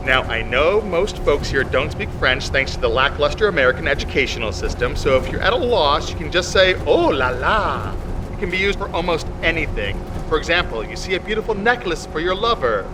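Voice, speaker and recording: Clear vehicle noise surrounds a chipper tour guide. His voice is high and swoops as he announces with hapless, sing-song showmanship in a comedy audio drama. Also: big pitch swings, brisk. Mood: explaining, hapless.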